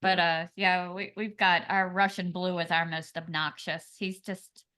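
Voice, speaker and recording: A woman's voice: fairly low pitch, little pitch movement, a little slow, pushed and bright, clean tone. A woman co-hosting a podcast, asking guests questions and rambling about her cats. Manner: rambling, many "you know"s. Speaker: podcast co-host. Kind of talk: casual interview chat.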